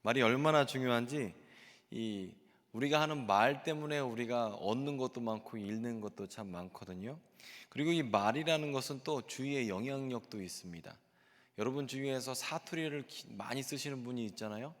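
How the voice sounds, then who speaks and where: A middle-aged male pastor delivers a sermon in an earnest, explanatory tone and keeps turning to the congregation with rhetorical questions. His voice sits at an ordinary male pitch. Long reverb from the church hall trails behind each phrase. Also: moderate pace. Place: church sanctuary.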